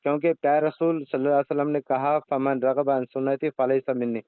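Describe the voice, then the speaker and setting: A man's voice: mid-range voice, little pitch movement, fast talker, smooth, no room sound. A man in a heated religious debate on a phone call, argumentative and insistent, often cutting in. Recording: thin phone call recording.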